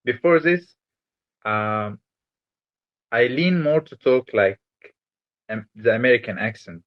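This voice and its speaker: A man's voice: mid-range voice, lively intonation, slow. A man from Egypt, a native Arabic speaker with Malaysian-influenced English, answering interview questions casually. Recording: dull lo-fi sound.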